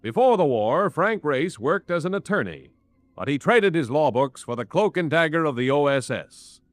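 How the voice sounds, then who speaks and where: An actor as a portentous 1940s radio announcer, delivering scripted narration in a grand, deliberate manner. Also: male voice, fairly high pitch, sing-song, no echo. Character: dramatic, portentous male programme announcer.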